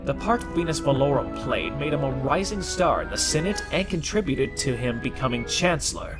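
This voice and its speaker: Casting: male actor as composed, expository chronicler in comic dub, mid-range voice, loud music behind. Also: mid-range voice, lively intonation, normal speed, lightly acted. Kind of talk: scripted comic dub dialogue.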